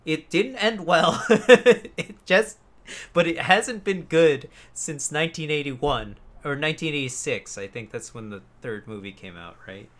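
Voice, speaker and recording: A man co-hosting a casual chat podcast, blunt and quick to swear with the exasperation of a fan. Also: fairly high pitch, a bit bright. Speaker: podcast co-host. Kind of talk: casual chat about films and games.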